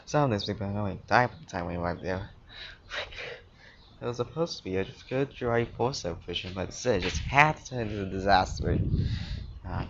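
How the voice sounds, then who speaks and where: A man plays a weary male emergency responder at a disaster scene. His lowish voice comes through a dull, lo-fi recording with faint noise behind it, in homemade audio drama style. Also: a bit bright. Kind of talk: amateur audio drama dialogue.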